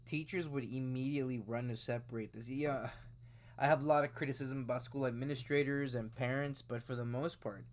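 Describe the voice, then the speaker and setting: A man in his late twenties reacts to a news story on his own channel, speaking at an ordinary middle pitch. His talk is casual and rambling, with plenty of fillers and false starts. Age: 20s. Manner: rambling, conversational, with frequent fillers and restarts. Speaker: video host reacting to news. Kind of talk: casual commentary.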